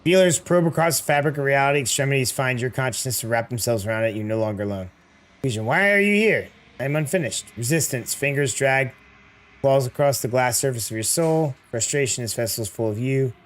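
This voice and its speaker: A man dropping his voice to read as an ominous, formless cosmic entity, in a slow, portentous way. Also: medium pitch, lively intonation, voice pushed down, natural voice.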